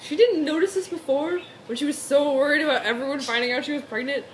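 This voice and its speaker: A woman's voice: sits high, quick pace, a little hiss or hum, low-quality recording. A woman chatting casually as a co-host, making sarcastic, incredulous comments on a reading. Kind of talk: casual joking chat.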